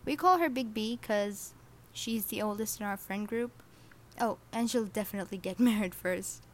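A woman's voice: medium pitch, normal speed, slight background noise. A teenage girl from Saudi Arabia hosting her first podcast episode, chatty, self-deprecating and a little nervous. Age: teens. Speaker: podcast host. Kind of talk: casual personal podcast monologue.

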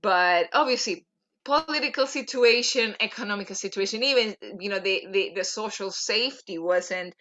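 A woman's voice: medium pitch, moderate pace, a bit bright. A woman from Venezuela, a native Spanish speaker with a Venezuelan accent, chatting as a podcast guest. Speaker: podcast guest, LinkedIn coach. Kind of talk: conversational interview answers.

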